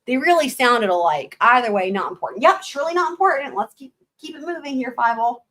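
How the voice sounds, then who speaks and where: Young woman stream host, live reading with blunt, sarcastic commentary, voice going hoarse. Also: fairly high pitch, lively intonation, fairly quick, a bit bright. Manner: sarcastic, blunt asides with swearing. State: losing her voice, hoarse. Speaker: livestream host reading a book aloud.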